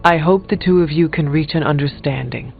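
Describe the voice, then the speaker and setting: A candid, calm counselor from a video game, with a somewhat high voice. He speaks as a loyal friend, formal, measured and earnest. The audio is thin and phone-like over faint music. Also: gravelly. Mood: calm, wry.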